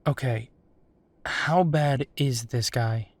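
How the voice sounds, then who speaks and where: A man's voice: mid-range voice, slow pace, dry recording. A man playing a wry, foul-mouthed werewolf private investigator in a roleplay audio drama, talking casually. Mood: businesslike, curious.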